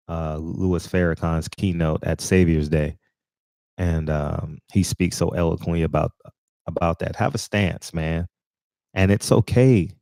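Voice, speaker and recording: Deep-voiced man rambling in a dry room. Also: creaky, a little mellow, dry recording. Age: 40s. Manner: rambling, with many fillers and restarts. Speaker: channel host. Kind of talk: casual commentary monologue.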